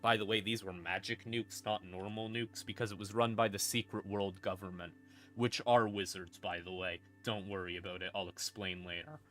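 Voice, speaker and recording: A man's voice: lowish voice, steady tone, moderate pace, fairly bright tone. A man casually explaining lore as a streamer, irreverent and sweary, with wry asides. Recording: eerie ambient music behind voice. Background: creepy ambient music.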